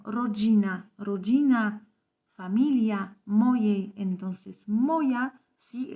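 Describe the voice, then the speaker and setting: A woman teaches a live online language class at an unhurried pace. Her voice sits a little high, and she keeps checking in with her students through short tag questions as she explains the grammar. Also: a little mellow. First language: Spanish.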